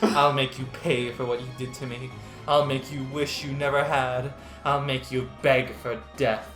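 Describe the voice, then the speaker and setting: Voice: mid-range voice, flat delivery, moderate pace, a bit bright, reverberant, soft background music, close to an everyday voice. A performer voicing a vengeful young female killer who threatens and menaces her former friends in an amateur dramatic reading. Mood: vengeful rage.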